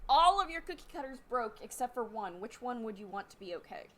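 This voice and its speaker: A woman's voice: on the high side, big pitch swings, a little fast, fairly bright tone, a little hiss or hum. A young adult woman co-hosting a podcast in playful, giggly, sing-song casual chat over a remote call. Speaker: podcast co-host.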